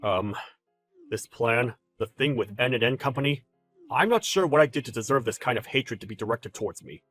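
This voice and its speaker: A calm, deferential male employee, acted by a man in a story drama. He speaks formally and with restraint, quietly firm. The voice is clear-edged and bright, with faint music behind it. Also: medium pitch, a little fast, voice pushed down. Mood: hurt, polite.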